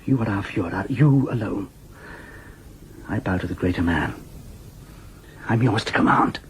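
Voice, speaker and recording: An actor playing an earnest, idealistic male party lieutenant in an audio drama, speaking in a measured, grave and deferential way. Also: fairly low pitch, a little hiss or hum, close to an everyday voice. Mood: submissive.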